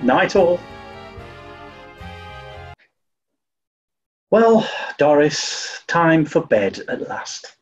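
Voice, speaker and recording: A man's voice: on the high side, big pitch swings, slow, faint music behind. Man as a swooping, grumpy British toff. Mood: awkwardly polite. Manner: reluctant, dry, flustered. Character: grumpy, dim-witted upper-class English gentleman detective. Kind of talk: 1940s radio comedy acting. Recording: muffled, distant microphone.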